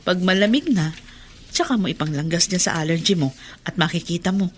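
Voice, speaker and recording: A Filipino actress playing a gentle, forbearing middle-aged mother in a radio drama, soothing and pleading as she keeps the peace. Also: lowish voice, fairly quick, lightly acted. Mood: kindly, coaxing. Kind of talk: Tagalog radio drama acting. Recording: clearly noisy background ambience.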